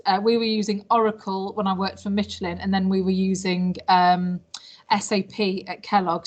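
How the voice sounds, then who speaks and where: A British woman in her late thirties, a language teacher, answering interview questions in an informal, chatty, explanatory way with some hesitation. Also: medium pitch. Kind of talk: informal interview answers about past jobs.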